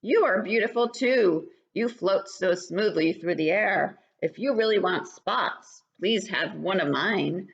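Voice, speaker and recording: A kindly storybook frog voiced by a woman reader speaks in a lowered, croaky voice. He sounds gentle and generous, and the recording is slightly muffled. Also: lively intonation, a little slow, few pauses, exaggerated voice. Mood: kindly, reassuring. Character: kind male frog, generous and reassuring. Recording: dull, lo-fi webcam sound.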